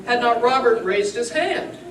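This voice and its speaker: A woman recites verse theatrically, with a highish voice that moves in animated swoops. Her delivery is emphatic and deliberate. She is picked up from a distance in a noisy, echoey room. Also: over a noisy crowd. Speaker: performer giving a dramatic reading. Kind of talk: dramatic live poetry recitation. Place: roomy indoor venue, recorded at a distance.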